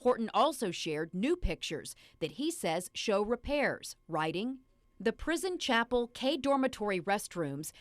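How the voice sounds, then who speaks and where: A woman's voice: medium pitch, no room sound, studio quality. A woman reporting the news, reading a scripted broadcast narration.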